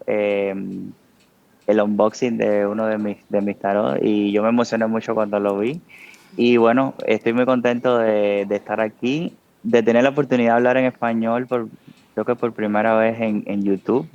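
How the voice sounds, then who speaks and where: A man's voice: lowish voice, darkish tone, muffled recording. A man from Cuba with a Cuban Spanish accent, a guest talking casually in an interview and hesitating with many fillers. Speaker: tarot artist guest.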